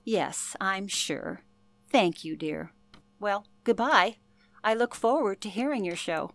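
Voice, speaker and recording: A woman playing a gracious elderly lady in a radio play, speaking politely and gently as she looks back. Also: mid-range voice, animated, slow. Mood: gracious, warm. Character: gracious elderly woman reminiscing about a night in 1938.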